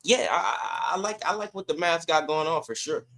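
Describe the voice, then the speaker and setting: Male sports podcast panelist, high register, conversational and insistent, repeats phrases for emphasis. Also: moderate pace, sharp tone. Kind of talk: casual sports debate.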